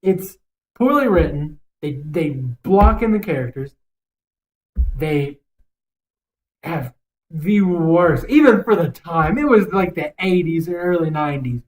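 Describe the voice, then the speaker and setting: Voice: mid-range voice, expressive, takes it slowly, long pauses, rough recording. A young adult podcast co-host giving opinions in casual banter, swearing freely and rambling emphatically.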